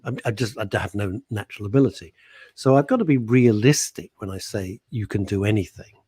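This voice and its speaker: Husky, chatty radio host. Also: male voice, lowish voice, fairly quick, no room sound, studio quality. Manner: chatty, animated storyteller. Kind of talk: casual radio chat. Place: radio studio. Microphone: studio mic.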